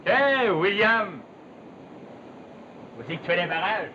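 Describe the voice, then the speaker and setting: A man's voice: sits high, lively intonation, slow. A male actor playing a brawny, bold, sociable backwoods log driver in a 1950s television drama, with a Quebec French accent and colloquial, challenging rural speech. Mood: hearty, teasing. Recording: muffled, noisy old television soundtrack.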